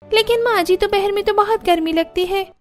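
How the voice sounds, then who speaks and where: Woman acting a high-voiced whiny young daughter-in-law. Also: brisk. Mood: complaining. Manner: pleading, put-upon. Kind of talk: animated family story dialogue.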